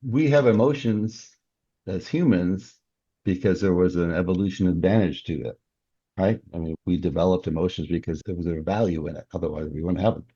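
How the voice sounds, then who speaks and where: A man with a gravelly, husky voice talking ideas through speculatively as an interview guest. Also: sits low, a little fast, mellow, no echo. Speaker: guest, novelist. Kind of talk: conversational interview discussion. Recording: dull, muffled dry recording.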